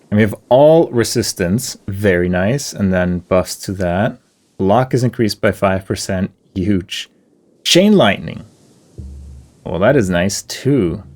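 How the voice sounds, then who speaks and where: A man commentating his gameplay, thinking aloud as he weighs options, with pauses and fillers. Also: sits low, a little mellow, a little hiss or hum. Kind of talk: thinking aloud while playing.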